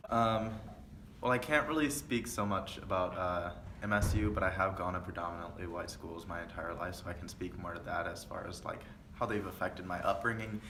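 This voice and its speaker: Deep-voiced young man, echoey room. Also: fairly quick. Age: young adult. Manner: thoughtful, hesitant with many fillers. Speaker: student interviewee. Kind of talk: casual interview answers. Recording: echoey room with faint noise.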